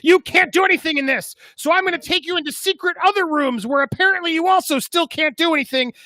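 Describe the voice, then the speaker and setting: Scheming witch gal done by a guy, shouty and smug, slow bright voice. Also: very high voice, no room sound, pitched above the performer's own voice. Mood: gloating. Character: scheming witch woman, gloating and theatrical.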